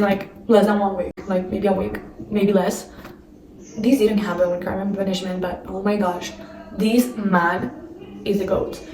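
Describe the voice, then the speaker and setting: A woman's voice: medium pitch, a little mellow, far from the mic, slight background noise. Chatty young woman vlogging in an echoey room. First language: not English. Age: young adult. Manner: chatty, gushing, fillers, laughing asides. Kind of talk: casual chat.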